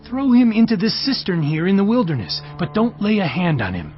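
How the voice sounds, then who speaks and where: Biblical protector: urgent, emotive, high-voiced, slightly muffled recording. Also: male voice, wildly swooping pitch, a little fast. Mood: urgent pleading. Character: urgent, protective eldest brother pleading for mercy. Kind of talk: dramatized scripture audio acting. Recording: dull audio over background music.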